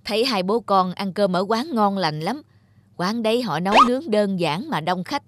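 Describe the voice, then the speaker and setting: A woman playing a chatty, gossipy married neighbour in an animated drama dub. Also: mid-range voice, moderate pace, heavy background noise, natural voice.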